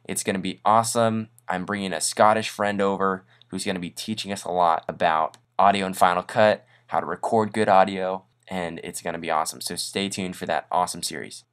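A man hosting a casual software tutorial in an informal, upbeat, self-deprecating way. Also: low voice, fairly even intonation, fairly quick, few pauses, clean tone. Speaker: tutorial host. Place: voice-over on a screen recording.

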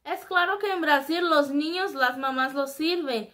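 A woman's voice: high voice, measured pace. A young woman from Brazil speaking Spanish with a Brazilian Portuguese accent, chatting to camera in a casual, self-mocking vlog from a small room. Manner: chatty, self-mocking, animated faces and gestures. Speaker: vlogger. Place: small indoor room before a flag.

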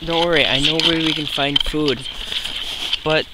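A woman playing a calm, reserved young guide from a tribe in an amateur improvised comic skit, speaking softly and tersely in a deadpan way. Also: low-pitched, unhurried. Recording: very noisy, faint amateur recording.

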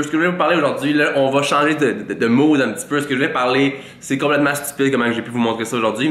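A man's voice: mid-range voice, a bit bright, echoey room. A man in his twenties with a Québécois French accent, congested from a cold, giving a playful, mock-boastful tutorial to camera in a small room on a large studio mic. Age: 20s. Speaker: fitness vlogger. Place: small room with a green-lit wall. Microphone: large studio mic in front.

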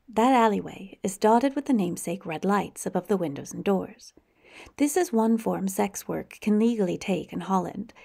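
A woman tour guide giving measured, informative walking tour narration with a lightly wry touch. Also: mid-range voice, gravelly, mellow, slightly rough.

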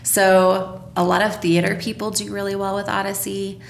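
A woman's voice: mid-range voice, level tone, moderate pace, creaky. A woman in her thirties explaining her production work as a guest in a podcast conversation. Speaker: radio drama producer, interview guest. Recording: roomy, echoey, faint background noise.

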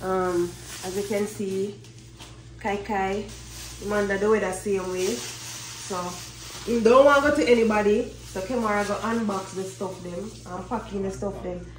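A woman's voice: mid-range voice, steady tone, normal speed, far from the mic. A young woman with a Jamaican accent chats casually as a family vlogger, in informal Patois-inflected phrasing. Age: young adult. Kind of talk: casual vlog chat. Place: indoors in supermarket and home kitchen. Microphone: phone camera, handheld or a step away. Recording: roomy, noisy handheld phone audio.

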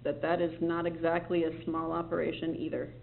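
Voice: mid-range voice, flat delivery, fairly quick, vocal fry, slight background noise. A city staff member briefing a board at a public meeting, rambling and correcting themself while sounding reassuring. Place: meeting room. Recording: tinny, lo-fi meeting recording with faint ambience.

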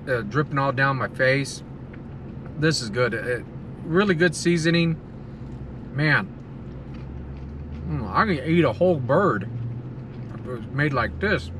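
A middle-aged American man giving a casual, savoring food review to camera, talking between bites inside a car. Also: a little high. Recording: car cabin with clear ambient noise.

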